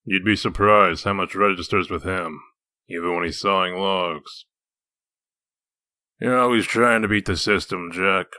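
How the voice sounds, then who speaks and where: A man playing a gruff, sardonic wolf detective in human form, dry and needling, in a comic dub. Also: low-pitched, fairly even intonation, measured pace, bright, forceful tone, no echo. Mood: dry, probing. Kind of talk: comic dub dramatic acting.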